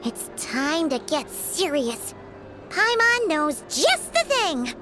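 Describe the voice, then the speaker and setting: A woman voicing a small, childlike fairy companion in a video game, bubbly, squeaky and chatty. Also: sing-song, slow, background noise, exaggerated voice. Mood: determined. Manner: bubbly, exclamatory, refers to self in third person.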